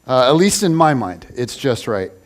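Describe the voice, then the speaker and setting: A man's voice: mid-range voice, very animated, moderate pace. A man in his forties giving a casual sermon as a pastor in a church, joking with the congregation and restarting his sentences often. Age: 40s. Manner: conversational and joking, with many restarts.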